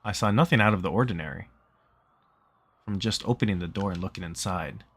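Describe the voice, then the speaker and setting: Low-voiced man narrating a visual novel. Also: a little fast, fairly bright tone, slightly rough. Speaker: streamer reading a game aloud. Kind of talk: casual read-aloud narration of a visual novel.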